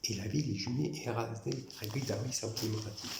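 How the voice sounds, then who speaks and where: A man's voice: mid-range voice, racing, vocal fry, echoey room, noisy background. A man from France giving a rambling, insistent monologue to camera, repeating and restarting his phrases as he recounts his grievances. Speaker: former prison guard recounting grievances.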